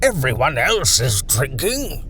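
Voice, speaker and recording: A man's voice: mid-range voice, huge pitch swings, unhurried, sharp tone, faint music behind, voice pushed up, exaggerated voice. Man doing a tipsy, blustering old major. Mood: spluttering. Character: jolly, tipsy, short old army major.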